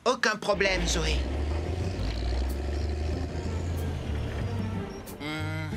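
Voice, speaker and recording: A woman voicing an eager, starstruck young male character in a children's cartoon dub, with exclamatory, drawn-out delivery. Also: lowish voice. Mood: distracted. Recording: loud music behind the voice.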